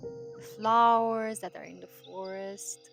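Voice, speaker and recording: Woman doing guided meditation, really slow and gentle, long pauses, music clearly playing behind her. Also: mid-range voice, fairly even intonation. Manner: soothing, gentle, drawn-out phrases with long pauses. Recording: voice over prominent background music.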